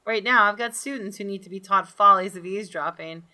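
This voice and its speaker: A woman reading a visual novel aloud as expressive, dramatic storytelling for a gaming video. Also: medium pitch, brisk. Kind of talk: expressive scripted narration with brief asides. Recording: game music behind the voice. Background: soft game music.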